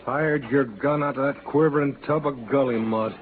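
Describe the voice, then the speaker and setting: A man's voice: mid-range voice, fairly even intonation, normal speed, natural voice. Guy acting a proud folksy outlaw, old cowboy radio show, scratchy and tinny. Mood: defiant, scornful. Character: proud, defiant male Western outlaw, a new father. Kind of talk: 1950s Western radio drama acting. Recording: scratchy, noisy, narrow old radio recording.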